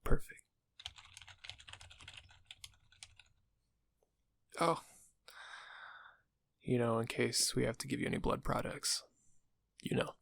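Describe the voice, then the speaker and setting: A man acts out a dental receptionist in an intimate audio roleplay. His mid-pitched voice is thick with vocal fry and rather husky. He is polite and casual, with a quietly sinister, insinuating edge. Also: animated, normal speed, dry recording, heavily acted. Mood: casual, prying. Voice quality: gravelly, husky. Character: friendly, subtly sinister male dental office receptionist.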